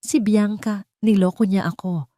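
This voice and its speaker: A woman's voice: mid-range voice, fairly even intonation, measured pace, mellow, no echo, studio quality, pitched above the performer's own voice, close to an everyday voice. A woman voicing a wounded, guilt-ridden rich son in an audio love drama, anguished and pleading. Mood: distraught.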